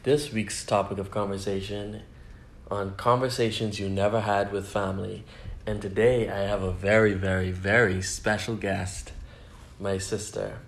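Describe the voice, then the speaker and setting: A man hosting a talk show, interviewing his sister with long, measured questions. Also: fairly low pitch, flat delivery. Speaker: host. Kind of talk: podcast interview. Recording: echoey room, faint ambience.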